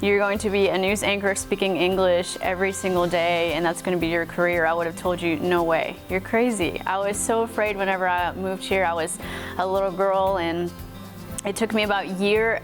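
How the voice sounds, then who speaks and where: A woman in her thirties from Mexico with a light Texas accent, a morning news anchor talking earnestly and gratefully about her life. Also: fairly low pitch. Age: 30s. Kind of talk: personal interview talk. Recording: music playing underneath.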